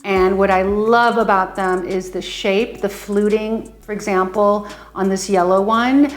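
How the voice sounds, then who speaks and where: A woman giving a casual, enthusiastic product demo in her home, gushing about favorite items with frequent ums. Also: medium pitch. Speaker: home design vlogger. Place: her home, closet and kitchen.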